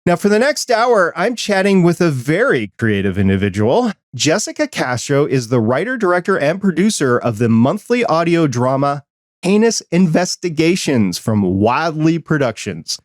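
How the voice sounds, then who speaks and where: A man's voice: fairly high pitch, sing-song, moderate pace, no echo, studio quality. A man hosting a hobby podcast, chatting in an enthusiastic, conversational way. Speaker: podcast host. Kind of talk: casual podcast chat and news roundup.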